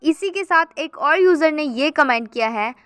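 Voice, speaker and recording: A woman's voice: high-pitched, expressive, normal speed, a bit bright, no room sound, studio quality. A woman hosting a gossip channel, chatting casually as she reads out viewer comments and keeps addressing her viewers as guys.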